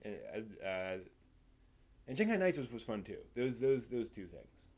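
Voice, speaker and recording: A man hosting a casual live stream, commenting on news in a rambling, halting way, often restarting his sentences and stressing points before trailing off. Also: fairly low pitch, big pitch swings, darkish tone.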